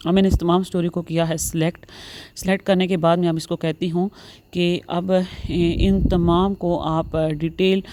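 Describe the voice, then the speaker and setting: A woman's voice: lowish voice, little pitch movement, moderate pace, mellow, a little hiss or hum. A woman narrating a step-by-step tutorial, mixing English words into her speech and repeating her instructions. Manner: repetitive instructional phrasing with English words mixed in. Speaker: tutorial host.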